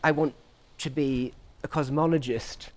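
A man giving reflective interview answers as a scientist, speaking in articulate, measured academic phrases. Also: a little high, steady tone. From grew up in Portugal, England and the US. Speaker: interviewee, scientist at a research institute.

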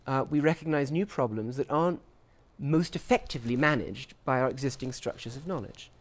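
A man giving reflective interview answers as a scientist, speaking in articulate, measured academic phrases. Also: medium pitch. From grew up in Portugal, England and the US. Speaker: interviewee, scientist at a research institute.

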